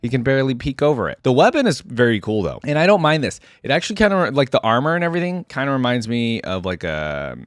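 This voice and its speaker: A man's voice: mid-range voice, very animated, fast, few pauses, smooth, no echo, clean studio sound. A man giving casual, unscripted reaction commentary on a video game, talking in an informal, rambling way with hedging asides.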